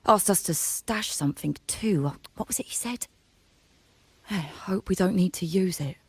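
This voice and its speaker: A game character: a brusque, loyal trader who says things straight. Her voice sits low with a gravelly fry, recorded very dry, and she grumbles informally and warily. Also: a little slow, no room sound. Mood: thoughtful. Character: brusque, plain-spoken woman trader, wary but loyal. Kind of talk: video game dialogue.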